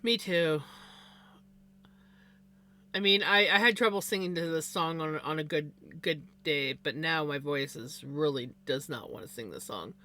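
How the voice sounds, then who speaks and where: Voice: mid-range voice, a little slow, bright, forceful tone. A livestreamer chatting casually, rambling with lots of fillers and restarts. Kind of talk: casual chat on a live stream.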